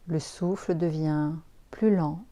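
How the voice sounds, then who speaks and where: A woman leading a guided yoga relaxation as an instructor, giving calm, soothing instructions with pauses. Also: deep voice.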